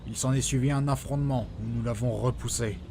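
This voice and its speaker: A man playing a proud, authoritative mentor sage in an acted audio drama, speaking in a measured way. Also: mid-range voice, steady tone, background noise, natural voice. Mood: solemn. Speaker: actor in amateur audio drama. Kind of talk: acted audio fiction dialogue.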